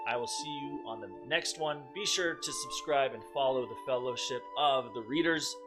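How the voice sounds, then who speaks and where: A man's voice: mid-range voice, normal speed, music in the background. A man hosting a children's read-aloud, narrating in a dramatized storytelling style. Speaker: children's story reader, host. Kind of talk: dramatized scripted narration, friendly sign-off to young viewers.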